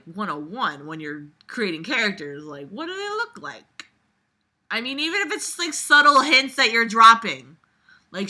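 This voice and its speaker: Bright, forceful woman, sarcastic and blunt. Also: fairly high pitch. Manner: sarcastic, blunt, exasperated, swears freely. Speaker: host reading a book aloud and critiquing it. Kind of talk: casual commentary mixed with mocking read-aloud.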